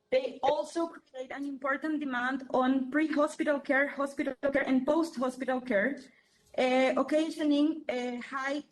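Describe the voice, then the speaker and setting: High-voiced, hesitant woman interpreter. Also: low-quality recording. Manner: hesitant, choppy phrasing. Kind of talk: consecutive interpreting.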